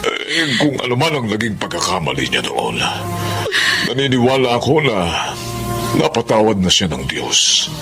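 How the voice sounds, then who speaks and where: An actor playing a kindly, accepting middle-aged father, gentle and thoughtful, in a Tagalog radio drama. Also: fairly low pitch, big pitch swings, normal speed. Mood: sympathetic, reassuring. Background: prominent music.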